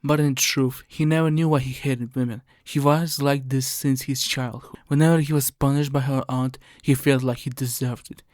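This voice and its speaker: A man's voice: medium pitch, steady tone, normal speed, few pauses, a little mellow, no room sound, studio quality. A man reading scripted video essay narration in an earnest, somber, explanatory way. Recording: music under the voice.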